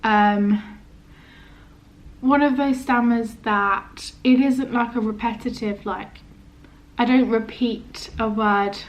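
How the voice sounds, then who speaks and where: A young woman with a stammer, chatting casually to camera in a halting, hesitant way, often trailing off. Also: a little high, steady tone, low-quality recording. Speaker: vlogger.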